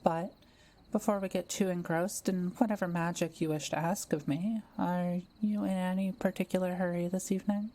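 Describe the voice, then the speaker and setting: Kind solitary forest witch, played by a woman, lowish mellow voice, unhurried and softly playful, for roleplay audio drama. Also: steady tone, close to an everyday voice. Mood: gentle, reassuring.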